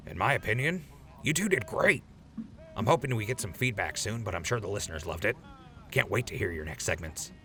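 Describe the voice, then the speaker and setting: Man acting a low, gravelly, breezy radio boss. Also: lively intonation, a little fast, a bit bright. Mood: upbeat, casual. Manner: glib, casual, upbeat. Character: easygoing, wisecracking male radio show leader. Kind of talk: audio drama acting.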